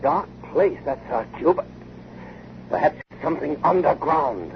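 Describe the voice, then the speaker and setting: A man's voice: fairly high pitch, expressive, measured pace, creaky. An actor playing an intense, restless male inventor, with insistent theatrical delivery in an old-time radio drama. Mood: grim, excited. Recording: noisy, muffled vintage radio recording.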